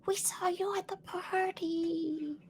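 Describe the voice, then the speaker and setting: A woman voicing a tiny, timid rat in a hesitant, whispery, squeaky little voice for a tabletop game. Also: fairly even intonation, slow, crowd noise. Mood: timid, shaken.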